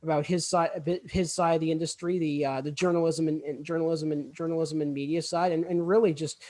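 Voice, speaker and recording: Halting young man hosting a podcast. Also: on the high side, fairly even intonation, darkish tone. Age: young adult. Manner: halting, stammering with repeated words. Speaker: student podcast host. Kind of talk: casual podcast introduction.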